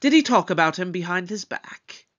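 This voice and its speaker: Probing eccentric investigator, played by an actor, audio drama, animated pitch, deliberate formal questioning, slightly muffled recording. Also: lightly acted. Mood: probing, curious.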